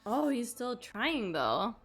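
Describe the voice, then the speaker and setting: A woman's voice: on the high side, sing-song, takes it slowly, gravelly, husky, faint music behind. A bubbly, gushing woman livestreamer chatting casually and reacting to a game.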